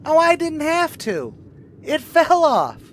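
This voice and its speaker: Goofy high squeaky teen boy, old radio comedy, eager, faint car noise behind. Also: huge pitch swings, slow pace, a bit bright, heavily acted. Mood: eager, sheepish. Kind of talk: 1950s radio sitcom acting.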